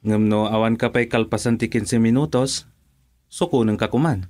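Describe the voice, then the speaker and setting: A man's voice: lowish voice, moderate pace, darkish tone, natural voice. An actor with an Ilocano accent playing a patient, easygoing young father and husband in a radio drama. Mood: calm, reassuring.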